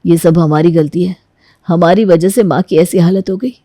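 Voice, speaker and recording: A woman's voice: lowish voice, steady tone, moderate pace, mellow, dry recording, studio quality, lightly acted. A woman playing an aggrieved, hard-working brother in a Hindi audio story, with a reasoning way of talking. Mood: regretful.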